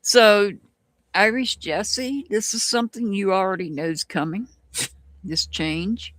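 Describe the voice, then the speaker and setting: A tarot reader with a Southern US accent, giving live-stream readings and answering chat in a slow, musing way with frequent restarts. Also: medium pitch, steady tone, a bit bright, no echo.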